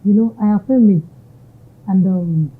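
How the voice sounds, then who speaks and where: A woman's voice: mid-range voice, a little slow, husky. A Nigerian woman entrepreneur answering interview questions, switching between English and Yoruba phrases. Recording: tinny narrow-band audio.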